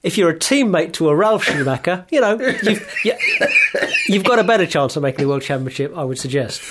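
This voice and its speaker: A man's voice: on the high side, animated, brisk, heavy background noise. A man co-hosting a casual motor racing chat, reasoning aloud thoughtfully with hesitant restarts. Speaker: podcast co-host.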